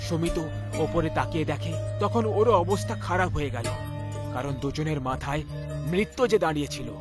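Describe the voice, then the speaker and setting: Male storyteller for horror: dramatic scripted narration, high pitch, prominent music bed. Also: brisk. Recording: loud music behind the voice.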